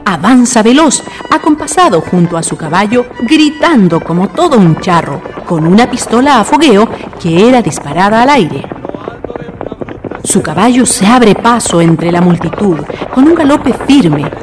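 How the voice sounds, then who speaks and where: Chilean woman, scripted radio drama narration, lively intonation, long reverb, faint music. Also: mid-range voice, unhurried.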